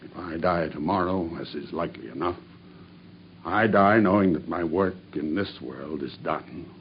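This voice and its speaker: An actor playing a grim, dying male cab driver who makes a steady, grave confession in an old radio drama. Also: low-pitched, moderate pace, slight background noise. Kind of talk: 1950s BBC radio drama acting. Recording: dull old radio broadcast.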